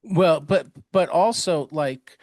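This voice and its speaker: Relaxed male podcast host, dry sound. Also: a little high, takes it slowly, no room sound. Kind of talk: casual interview chat.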